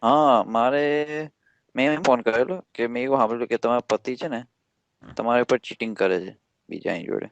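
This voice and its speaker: A man's voice: medium pitch, fairly quick, no echo. Dull-sounding Gujarati man, slangy prank caller. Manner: slangy, colloquial. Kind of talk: improvised prank phone call.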